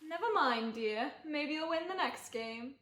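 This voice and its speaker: A woman's voice: high-pitched, expressive, measured pace. A young woman in an amateur school play acting a practical, teasing middle-aged wife and mother. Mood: soothing. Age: young adult. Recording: echoey room, faint noise.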